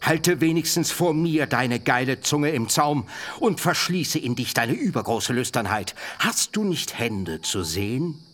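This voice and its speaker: A man's voice: mid-range voice, animated, measured pace, fairly bright tone. An actor playing a coarse, domineering old sheep breeder who is the bride's father, blunt and mocking, in a German radio drama. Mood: indignant scolding. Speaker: character in a radio play.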